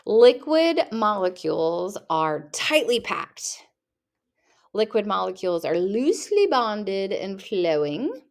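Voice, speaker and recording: A woman's voice: medium pitch, sing-song, slow, vocal fry. A young woman teacher reading test questions aloud and rephrasing them playfully for her students, recorded at her computer. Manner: reads each question, then rephrases it playfully.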